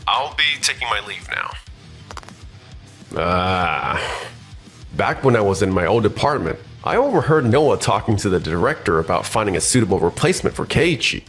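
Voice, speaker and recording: A man's voice: lowish voice, a bit bright. A man playing a scheming, self-important office manager with pushy corporate formality in a manga dub. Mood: flustered, contrite. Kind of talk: scripted manga dub acting.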